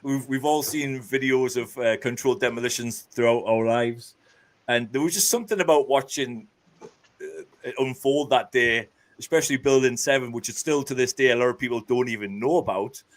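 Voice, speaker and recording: A man's voice: medium pitch, brisk. A man with a Geordie accent from northeast England, talking earnestly as a guest with many fillers and restarts. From Scotland. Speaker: podcast host guest. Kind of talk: casual interview talk.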